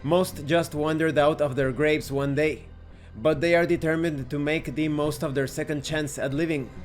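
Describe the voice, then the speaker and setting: A man's voice: a little high, steady tone, moderate pace, faint music behind. A man narrating a scripted tabletop game review in a methodical, explanatory way, as the host.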